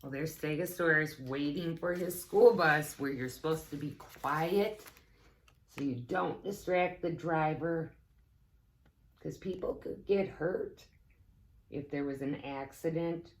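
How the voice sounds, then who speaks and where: Woman, low register, slow and expressive story-time reader for small kids, chatty asides. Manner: expressive, deliberate phrasing addressed to young children. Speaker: teaching assistant reading a picture book aloud. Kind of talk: children's story time read-aloud with asides.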